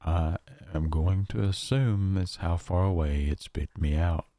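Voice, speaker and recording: A man playing a shaken, paranoid survivor recording a horror audio log, speaking haltingly with long pauses. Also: sits low, dark tone, dry recording, clean studio sound. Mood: weary, reflective. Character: shaken, paranoid male survivor of a nightmare realm.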